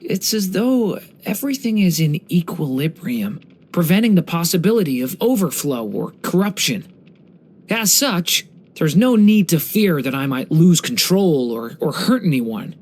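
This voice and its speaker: A young man voicing a gentle, earnest dragon boy for a video game, polite and slightly hesitant. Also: fairly high pitch, sing-song, measured pace. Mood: earnest, reflective. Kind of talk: video game character voice lines.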